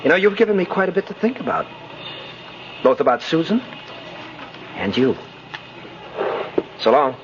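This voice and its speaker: A man's voice: a little high, big pitch swings, normal speed, long pauses. An actor playing an easygoing, wryly frank man with a casual manner in a 1950s radio drama. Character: easygoing gentleman friend, apologetic yet wryly frank. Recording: muffled, noisy old radio recording.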